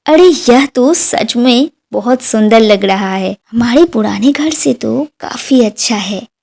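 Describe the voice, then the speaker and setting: A woman voicing a poor farmer's wife in a storybook cartoon, expressive and urging. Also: on the high side, takes it slowly, vocal fry, heavily acted. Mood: delighted.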